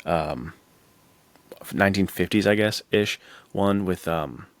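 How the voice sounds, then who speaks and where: A man's voice: deep voice, slow pace, dry recording. An American man co-hosting a podcast, chatting about films in an informal, slangy, enthusiastic way. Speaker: podcast co-host. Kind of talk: casual film chat.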